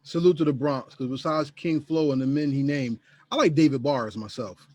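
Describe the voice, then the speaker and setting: A man's voice: mid-range voice, normal speed, darkish tone, no room sound. A man hosting a podcast interview, putting questions directly to his guest. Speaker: podcast host.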